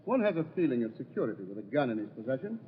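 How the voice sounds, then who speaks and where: An actor playing a suave English bachelor with a British accent, formal and ominous, in an old-time radio drama. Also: male voice, medium pitch, big pitch swings, rapid-fire, phone-quality sound, lightly acted. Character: suave English bachelor host warning of family ghost. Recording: thin, noisy vintage radio recording.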